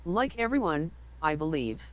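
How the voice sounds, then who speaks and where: A woman's voice: sits low, big pitch swings, normal speed, highs cut off. A woman voicing an evasive suspect in a mystery game, brushing off questions curtly. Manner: curt, evasive replies. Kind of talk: game voice acting.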